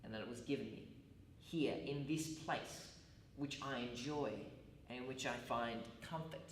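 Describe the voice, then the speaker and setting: A man acting out an earnest, self-justifying male college teacher in a stage monologue. He speaks in formal, halting, deliberate phrases at a middle pitch. The sound is distant and very echoey. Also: natural voice. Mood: measured, self-justifying. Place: large echoey room at a college. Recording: echoey hall, distant mic, faint noise.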